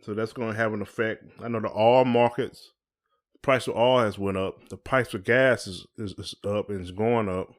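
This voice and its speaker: A man's voice: on the low side, steady tone, moderate pace. A man co-hosting a podcast in casual chat, asking questions in informal, hesitant phrasing. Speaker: podcast co-host.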